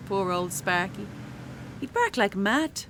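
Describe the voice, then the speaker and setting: Over clear background noise and vehicle ambience comes a feisty, worn-out Irish mammy in a radio play. Her voice sits at a middle pitch. She talks bluntly and earthily, with a wry, sharp tongue. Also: lively intonation, slow pace. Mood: wistful. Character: weary, feisty, sharp-tongued working-class Irish mother. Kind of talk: radio drama acting. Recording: clearly noisy, traffic and ambience behind.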